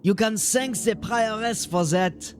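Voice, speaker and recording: A male actor plays a town gatekeeper from a medieval audio drama. He takes his time over every phrase in a fairly high male voice. His manner is plain, folksy and deferential, as if thinking aloud. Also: measured pace, slight background noise. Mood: admiring. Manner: folksy, musing, colloquial turns of phrase.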